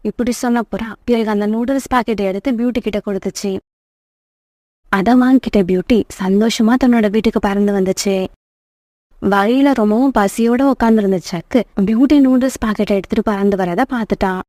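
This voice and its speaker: A woman's voice: mid-range voice, a little fast, dark tone, no echo, studio quality. A woman narrating a scripted children's story as the storyteller.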